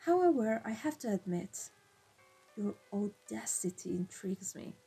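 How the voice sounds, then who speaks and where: Husky, dark-voiced female vampire mafia boss, acted by a woman; cold, imperious, measured ASMR roleplay over music. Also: mid-range voice, animated, heavily acted. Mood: intrigued, probing. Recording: music behind the voice. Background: classical background music.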